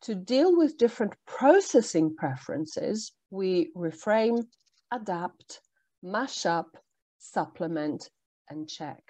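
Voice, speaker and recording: A woman whose first language is Polish, presenting a workshop for language teachers in a measured, explanatory way with frequent ums. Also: medium pitch, warm tone, no room sound. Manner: measured, explanatory, with pauses and fillers. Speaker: teacher trainer.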